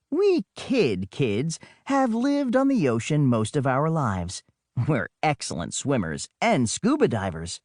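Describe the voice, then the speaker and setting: A man narrates a children's adventure in a highly animated, swooping voice that sits fairly high for a male. He takes his time and drops in wry asides like a boy telling his own story. The sound is dry and close. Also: no room sound. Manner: dramatic, wry conversational asides. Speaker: audiobook narrator.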